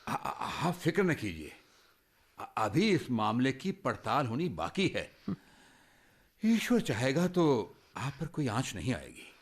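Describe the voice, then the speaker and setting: An actor playing a regretful police inspector in a radio play, halting and apologetic. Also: male voice, mid-range voice, big pitch swings. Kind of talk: radio drama acting.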